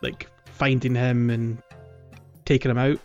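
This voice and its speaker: A man's voice: mid-range voice, level tone, a little slow. A man co-hosting a podcast, chatting casually about a video game in a hesitant, conversational way. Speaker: podcast co-host. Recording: dull lo-fi audio, music behind. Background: music.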